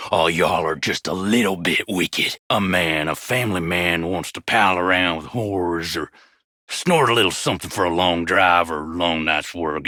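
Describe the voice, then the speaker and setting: A man's voice: low voice, bright, forceful tone, slightly rough, no echo, clean studio sound, full character voice. An actor playing a sadistic, self-righteous Southern lawman in a video game, with a folksy, preachy, menacing way of talking and a foul mouth. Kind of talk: villain monologue voice lines for a game.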